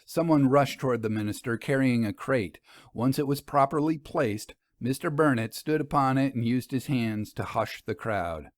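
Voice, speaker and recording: A man narrates an audiobook tale in a measured, literary way. His voice is medium in pitch and recorded close and dry, with no room around it. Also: no room sound. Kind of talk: scripted audiobook story narration.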